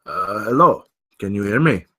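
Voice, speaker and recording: A courteous older gentleman scientist, acted out by a male reader, with a bright, clear-edged tone and very little room sound. He speaks formally and wordily, like a learned man in a drama. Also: lowish voice, animated, normal speed, no echo, studio quality, full character voice. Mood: polite, puzzled. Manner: formal wordy speech. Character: older silver-haired scientist, male, courteous, bookish, wounded.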